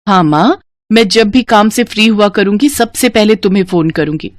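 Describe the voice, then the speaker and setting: A woman's voice: mid-range voice, normal speed, dry recording, studio quality, natural voice. A woman voicing a dutiful, eager young village woman in a story. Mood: earnest.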